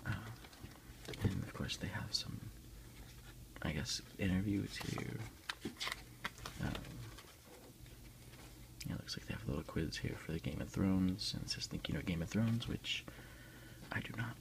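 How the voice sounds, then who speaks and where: A man delivers soft ASMR in a hushed half-whisper, close to the mic. His low voice is heavily creaky, crackling with fry as he reads aloud and comments at an unhurried pace. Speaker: ASMR video creator.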